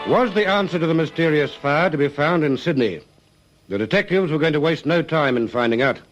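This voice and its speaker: Male narrator, played by an actor for a detective serial. Scripted, suspenseful linking narration, fairly high voice over clear music. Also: expressive, fairly quick, muffled recording, lightly acted.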